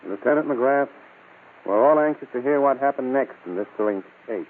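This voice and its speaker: An actor playing a formal police commissioner hosting a radio crime story. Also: male voice, medium pitch, lively intonation, moderate pace, natural voice. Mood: formal, intrigued. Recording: tinny old radio recording.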